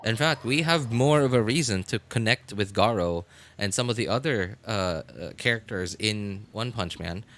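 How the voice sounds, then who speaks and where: A man live streaming casual, unscripted commentary, speaking haltingly and repeating phrases for emphasis. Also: on the low side, a bit bright.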